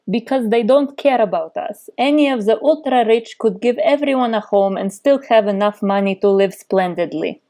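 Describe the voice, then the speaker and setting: A woman's voice: fairly high pitch, warm tone, close to an everyday voice. A woman putting on a Russian-accented FBI chief. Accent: put-on Russian accent. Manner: stiff, declaiming reading of a note. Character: tough Russian-born woman FBI unit leader, 45. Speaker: player voicing her character.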